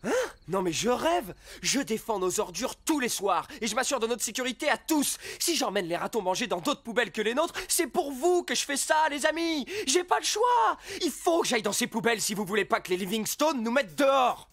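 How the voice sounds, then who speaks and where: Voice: mid-range voice, animated, brisk, few pauses, fairly bright tone. An actor voicing a cocky, defensive male fox in a French cartoon dub, with a brash, exclamatory delivery. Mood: indignant. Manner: brash, exclamatory, self-justifying.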